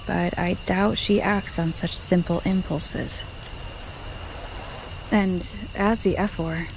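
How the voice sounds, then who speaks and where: A woman playing a serious, thoughtful young warrior in a video game, speaking earnestly and in a measured, questioning way. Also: medium pitch, darkish tone, slight background noise, low-quality recording.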